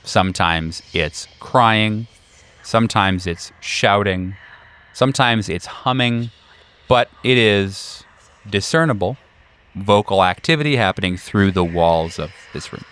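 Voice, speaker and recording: A man narrating a horror tabletop game as game master, deliberate, with dramatic pauses. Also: on the low side, a bit bright, smooth.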